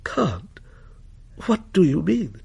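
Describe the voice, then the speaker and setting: Ghost story mother, acted by a man: raised pitch, swooping delivery, anxious, placating, trying to keep control. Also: sing-song, slow pace, creaky, darkish tone, husky, heavily acted. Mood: composed, placating. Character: anxious wife and mother, placating, self-possessed turning pleading. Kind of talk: dramatic ghost story reading.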